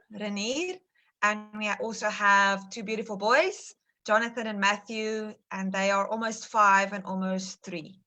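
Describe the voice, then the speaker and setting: A woman's voice: medium pitch, sharp tone. A woman in full-time ministry introducing herself on an online panel, listing her work in an orderly way over a video call.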